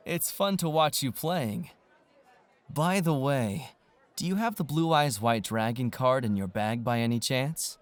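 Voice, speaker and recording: An actor playing an arrogant, scornful rich teenage card duelist with a condescending drawl. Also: male voice, medium pitch, slow, dry recording, natural voice. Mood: falsely friendly. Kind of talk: audio manga dramatization.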